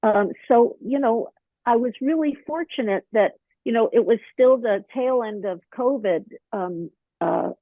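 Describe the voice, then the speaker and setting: A slightly hoarse woman chatting as an interview guest, telling stories animatedly with lots of fillers. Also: fairly high pitch, a little slow, dry recording. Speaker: podcast guest, author and actor. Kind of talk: casual interview chat. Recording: dry, thin phone-line sound.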